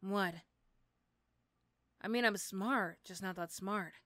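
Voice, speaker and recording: A woman's voice: medium pitch, slow, fairly bright tone, no room sound, clean studio sound. Woman acting as a sardonic, menacing supervillainess. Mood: dry, casual. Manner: sardonic, threatening, teasing. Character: menacing but begrudgingly fond female supervillain. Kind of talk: ASMR roleplay acting.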